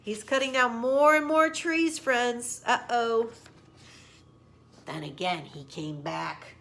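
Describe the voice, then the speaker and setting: A woman with a fairly high voice reads a storybook aloud for children. Her delivery is expressive and emphatic, phrased simply in the way of a teacher. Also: slow pace.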